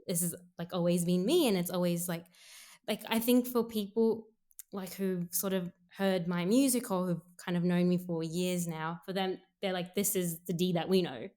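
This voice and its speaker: A young woman singer from Sri Lanka, raised in Australia, speaks softly in a relaxed interview. Her voice sits low for a woman, and she thinks aloud, filling her sentences with little fillers as she circles her point. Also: normal speed. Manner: soft-spoken, frequent "like" fillers, thinking aloud. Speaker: singer, guest. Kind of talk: casual interview conversation.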